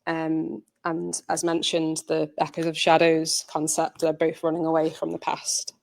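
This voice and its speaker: A woman's voice: low-pitched, completely flat delivery, unhurried, gravelly, darkish tone. A woman giving an informal presentation as writer, earnest and hesitant in her delivery.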